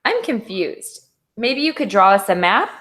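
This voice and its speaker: A woman reader acts out a fretful, bossy cat from a picture book. The pitch jumps about with great animation, and the manner is insistent and theatrical. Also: big pitch swings, a little slow, fairly bright tone. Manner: insistent, dramatic, urgent.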